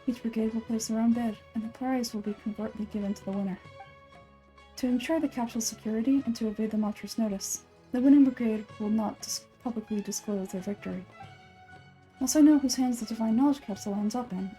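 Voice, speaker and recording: A woman voicing an aloof, calculating male scholar from a video game, speaking in a formal, measured, clinical way. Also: fairly high pitch, warm tone, faint music behind, lightly acted, poor audio. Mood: businesslike, composed. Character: aloof, scholarly young man, calculating and composed researcher. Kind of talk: game dialogue read aloud.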